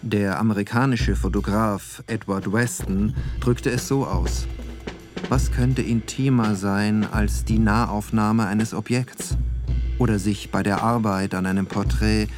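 A male actor playing a reflective photographer, giving a measured, contemplative literary monologue in an audio play. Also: fairly low pitch, steady tone, over music, close to an everyday voice.

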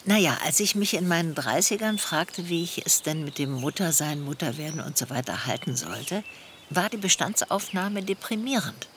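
A woman playing a thoughtful, articulate middle-aged feminist in a radio play, speaking in measured, reflective phrases. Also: low voice, expressive, noisy background. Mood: reflective, sober. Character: thoughtful feminist woman recalling her longing for motherhood. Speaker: main character in a radio play. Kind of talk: reflective first-person radio play dialogue.

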